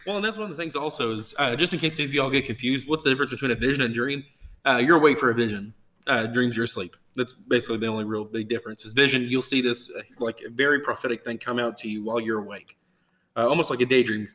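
20 yr old guy talking fast about dreams and faith, eager, lots of uh's. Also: medium pitch, pushed and bright. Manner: eager, explanatory, many uh fillers. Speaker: podcast co-host.

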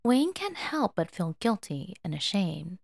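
A woman reading fiction aloud as a dramatic, expressive and emotive narrator. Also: on the low side, measured pace, vocal fry, no room sound, studio quality. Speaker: fan fiction audiobook reader.

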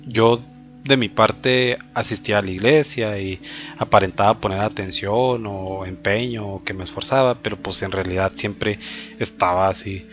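A man gives a personal faith testimony. He talks reflectively and hesitantly, pausing often on filler words. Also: on the low side, fairly bright tone. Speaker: testimony speaker. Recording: dull lo-fi with faint background music.

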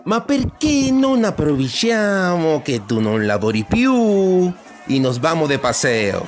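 Radio soap role: Italian immigrant Casanova, acted by a man, slow, swooping lines, heavy music underneath. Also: a little high, big pitch swings. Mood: seductive, coaxing. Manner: coaxing, mixing Italian words into Spanish. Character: sweet-talking Italian immigrant seducer, a scoundrel. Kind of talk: radio soap opera acting.

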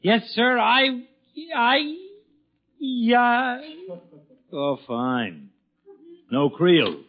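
A man's voice: very high voice, sing-song, very slow, slight background noise, exaggerated voice. An actor playing an exasperated, grumbling middle-aged father in a 1940s radio comedy, sarcastic and blustering. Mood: flustered. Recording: dull lo-fi 1940s radio broadcast recording.

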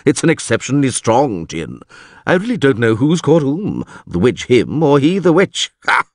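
Man doing a smug know-it-all priest, husky, voice jumping high and low. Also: wildly swooping pitch, normal speed, no room sound, studio quality. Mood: gloating. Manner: scornful, lecturing. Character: bald, sardonic male priest, know-it-all.

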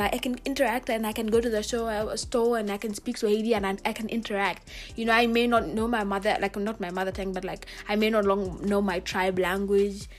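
A young woman with a Kenyan accent, an international student talking earnestly to her viewers in a casual chat full of "like" and "you know". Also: mid-range voice. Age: young adult. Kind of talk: casual reflective monologue to camera.

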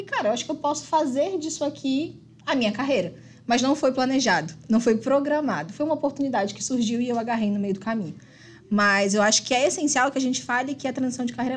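A woman in her thirties speaking as a guest on a company livestream, lively and casual. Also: a little high, slight background noise. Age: 30s. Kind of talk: casual panel talk.

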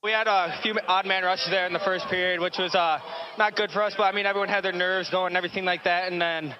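Young guy talking fast and flat after a game, high voice, muffled clip with street noise. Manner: rushed, repeats a stock filler phrase. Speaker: youth hockey player. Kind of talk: post-game interview. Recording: dull outdoor clip with noise.

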